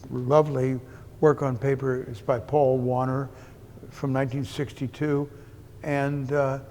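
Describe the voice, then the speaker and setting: Gravelly elderly man in an echoing gallery. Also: mid-range voice, darkish tone, slight background noise. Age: 80s. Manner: dry joking, halting and deliberate. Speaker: art gallery owner giving an exhibition tour. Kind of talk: informal spoken gallery tour. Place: indoors in an art gallery. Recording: long echo of a hard-walled gallery.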